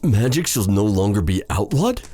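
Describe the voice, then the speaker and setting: A man playing a lovestruck, self-doubting exiled dark sorcerer in an intimate fantasy audio roleplay, breathy, halting and formal in his phrasing. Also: on the low side, very animated. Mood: hesitant, torn. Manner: flustered, stammering, halting, formal old-fashioned phrasing.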